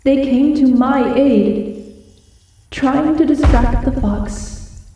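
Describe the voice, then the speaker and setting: A woman playing a proud, feral but soft-hearted giant wolf girl in an ASMR roleplay, with breathy, dramatic pauses. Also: high-pitched, slight background noise, full character voice. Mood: dark, menacing. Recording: very echoey, distant from the mic.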